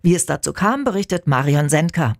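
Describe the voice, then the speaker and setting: A woman's voice: deep voice, animated, a little fast, dry recording. A woman reading scripted radio news as an anchor, close to a studio microphone.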